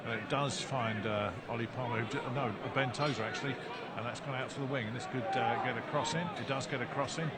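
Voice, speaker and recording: A man's voice: medium pitch, quick pace. A man giving live play-by-play football commentary, often correcting himself as the action unfolds. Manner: excitable play-by-play with frequent self-corrections. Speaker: match commentator. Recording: loud crowd noise behind commentary. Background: stadium crowd.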